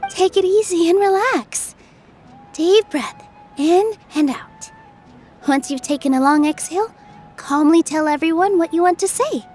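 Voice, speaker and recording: Very high, gentle young woman. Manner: soothing, reassuring, upbeat. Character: gentle, encouraging young woman theater dancer. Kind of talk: animated video game voice acting.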